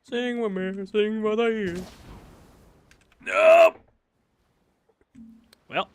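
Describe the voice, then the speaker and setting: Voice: mid-range voice, extremely slow, a little hiss or hum. A game streamer giving casual, joking commentary in a playful, quippy way. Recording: game music behind.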